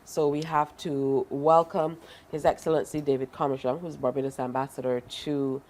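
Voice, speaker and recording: A woman's voice: sits low, little pitch movement, moderate pace, darkish tone. A woman hosting a morning talk-show interview, playful and teasing with her guests.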